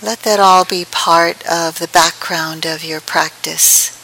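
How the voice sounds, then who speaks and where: A woman teaches a guided meditation at a very slow pace, leaving long pauses between gentle phrases. Her delivery stays flat and even, with a clear, bright edge to the tone. A faint hiss sits behind her voice. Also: on the low side, poor audio. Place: meditation hall at a group retreat.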